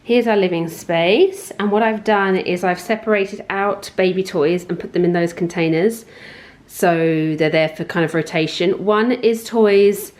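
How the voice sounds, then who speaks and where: A woman's voice: fairly low pitch, unhurried, a little mellow. A woman in her thirties talking casually and informally as she explains things like a home vlogger. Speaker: parenting vlogger. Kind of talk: casual chatty home tour.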